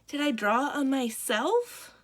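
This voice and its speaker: An excitable, stubborn little girl from a storybook, acted out by a woman reader. The voice is high and swoops around freely, with whiny, dramatic pleading. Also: slow, full character voice. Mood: pleading, insistent.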